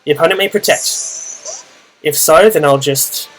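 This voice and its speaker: A young Australian man with a naturally loud voice, casually narrating his own Pokemon battle, thinking aloud with fillers. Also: a little high, normal speed, faint music behind, rough recording. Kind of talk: casual play-by-play gaming commentary.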